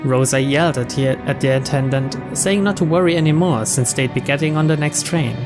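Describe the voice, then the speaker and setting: Guy reading a visual novel story out loud, kinda higher voice, game music playing behind him. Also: a little fast. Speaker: let's player reading a visual novel aloud. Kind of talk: scripted story narration read aloud.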